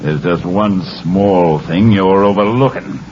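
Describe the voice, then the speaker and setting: Deep-voiced smug Western gang boss. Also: male voice, slow, a little mellow. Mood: cool menace. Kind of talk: 1940s radio Western acting. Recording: muffled, noisy 1940s radio broadcast.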